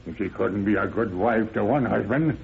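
Through a tinny, noisy old radio recording comes a stern old countryman with a gravelly voice. He scolds gruffly in a folksy rural American drawl, like a cantankerous father in a vintage radio play. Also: on the low side, normal speed. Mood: scornful, stern. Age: older. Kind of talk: old-time radio drama acting.

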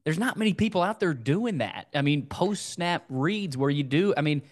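Male sports podcaster, higher-pitched, conversational football breakdown, repeats himself for emphasis. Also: animated, moderate pace.

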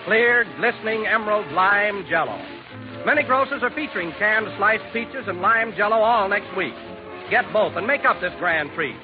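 An American man announces on 1940s radio in a high-pitched voice. He reads polished scripted copy and sponsor messages, captured on a muffled vintage recording.

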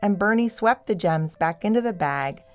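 A woman narrating a scripted children's audio story in an expressive storytelling manner. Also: medium pitch, normal speed, faint music behind.

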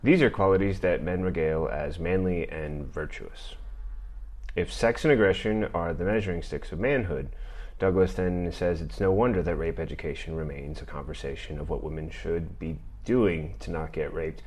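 A middle-aged man with a deep voice, hosting a podcast and talking through an argument in a measured, thoughtful way. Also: darkish tone. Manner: measured, thoughtful delivery with occasional fillers. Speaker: podcast host. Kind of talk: reading and commenting on an argument.

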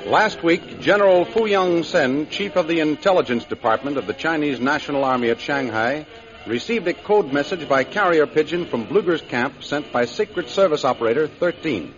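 High-pitched vintage male radio announcer. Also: expressive, moderate pace, soft background music. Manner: formal, declamatory. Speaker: announcer and narrator. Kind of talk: 1930s radio serial narration. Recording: muffled old radio recording.

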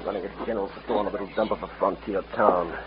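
An actor playing a smug, cold-blooded outlaw who poses as a cultured French gentleman, suave and pompous, in an old radio western. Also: sits low, steady tone, quick pace, lightly acted. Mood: smug, gloating. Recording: muffled, hissy old radio recording.

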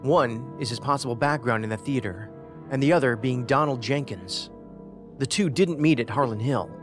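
Man playing brainy detective, mid voice, measured and pedantic, bit of music behind. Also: medium pitch, natural voice. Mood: analytical. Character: cerebral, musing male investigator. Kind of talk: audio drama acting.

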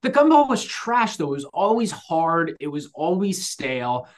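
A man co-hosting a podcast, chatting casually and telling stories in a slangy, animated way. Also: fairly high pitch, moderate pace. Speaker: podcast co-host.

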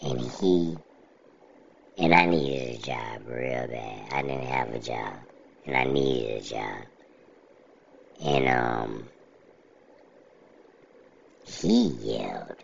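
A middle-aged man, talking casually about his own life in a level, monotone, plainspoken way. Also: rumbling low, vocal fry, slight background noise. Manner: unhurried, reflective, plainspoken. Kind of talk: casual personal monologue. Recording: slightly dull lo-fi recording.

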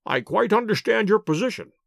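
A man reading an audiobook, voicing a calm male detective who explains his reasoning in a measured, precise, lecturing way. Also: high voice, gravelly, no echo, clean studio sound, voice pushed up. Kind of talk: audiobook character voice.

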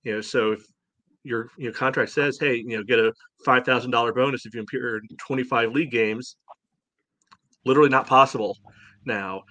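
Man, podcast host, blunt, indignant, emphatic, filler-heavy speech, medium pitch, dry close sound. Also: steady tone, a little fast, pushed and bright, dry recording. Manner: frequent "you know" filler. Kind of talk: opinionated sports podcast discussion.

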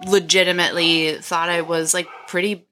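A woman's voice: fairly low pitch, level tone, moderate pace, fairly bright tone, slight background noise. A young adult woman co-hosting a podcast, speaking thoughtfully and hesitantly, with frequent "like" fillers. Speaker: podcast co-host. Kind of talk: reflective casual chat.

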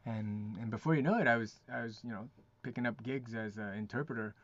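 Halting, chatty man, muffled sound. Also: mid-range voice, a bit bright. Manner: halting, many restarts, laughs often. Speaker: podcast guest. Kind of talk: casual interview chat. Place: lives in Quebec.

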